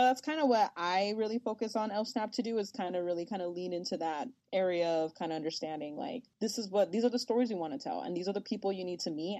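A woman whose first language is Spanish, talking in a lively, chatty way as a sports journalist guest in an interview, laughing often. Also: lowish voice, no echo. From United States. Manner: lively, chatty storytelling with frequent laughs and fillers. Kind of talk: conversational interview.

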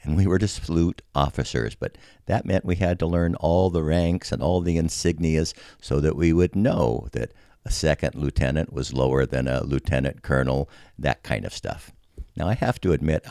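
Older american guy, super low creaky voice, wry folksy storytelling, dry close sound. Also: dark tone, no echo. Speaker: podcast storyteller. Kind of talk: scripted personal narration.

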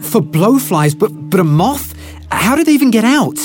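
An actor playing a worried, excitable husband and scientist in an audio drama, stammering with urgency. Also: male voice, sits high, moderate pace, over music. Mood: urgent, horrified.

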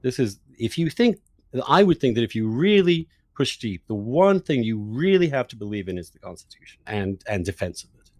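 A man's voice: mid-range voice, sing-song, fairly quick, darkish tone, no room sound. A man hosting an opinionated political discussion, arguing his points emphatically. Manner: emphatic, argumentative. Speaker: podcast host, interviewer.

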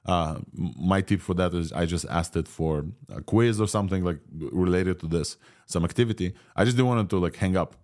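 Podcast host, man, Ukrainian Israeli accent, low pitch, rambling casual delivery full of fillers. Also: a little fast, a little mellow. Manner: rambling, many fillers and restarts. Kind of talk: casual tech podcast talk.

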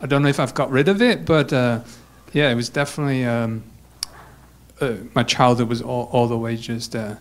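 German-born man, mid-pitched, stumbling and restarting as he talks through an old memory. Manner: halting, many restarts. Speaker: church group member. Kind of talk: personal story in casual talk.

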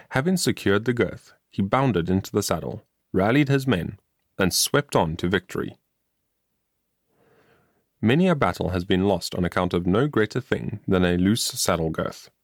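A man narrates an old-fashioned moral tale in a fairly low voice. His reading is measured, formal and earnest. The recording is very dry and free of room sound. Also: dry recording. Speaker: audiobook narrator.

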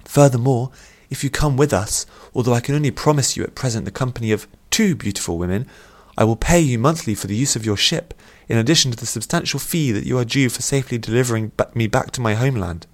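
Man voicing a poised, playful young princess, lifted pitch. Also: quick pace, hardly any pauses, lightly acted. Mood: gracious, assured. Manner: formal, courtly phrasing. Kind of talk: audiobook character voice.

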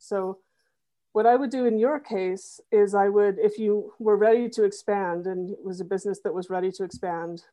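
A middle-aged woman talking through her web development work in a relaxed interview, with plenty of restarts and fillers. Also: mid-range voice. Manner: thoughtful, many fillers and restarts. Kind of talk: conversational interview.

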